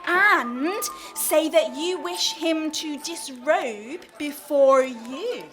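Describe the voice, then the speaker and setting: An actress playing a scheming, deferential lady's maid in a period comedy radio play, deliberate and conspiratorial in her delivery. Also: high-pitched, over music. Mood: conspiratorial, dramatic.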